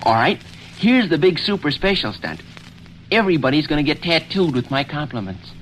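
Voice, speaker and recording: A man's voice: a little high, sing-song, moderate pace, a bit bright, slight background noise, poor audio. An actor playing an eager, boastful teenage boy, earnest and self-important, in 1930s radio comedy style with folksy small-town talk. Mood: enthusiastic.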